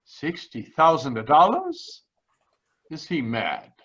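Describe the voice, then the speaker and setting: An actor playing an earnest, pious immigrant man with halting, solemn delivery in a radio drama. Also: a little high, wildly swooping pitch, a bit bright, slightly rough, heavily acted. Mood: astonished. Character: earnest Italian immigrant man, pious, grieving, slow-spoken. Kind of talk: 1940s radio drama acting. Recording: slightly dull video-call audio.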